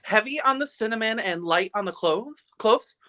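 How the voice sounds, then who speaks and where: A man's voice: high-pitched, animated, normal speed, bright, forceful tone. A man playing an innocent, centuries-old guardian angel with a quaint, earnest manner in a live radio play reading. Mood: guileless.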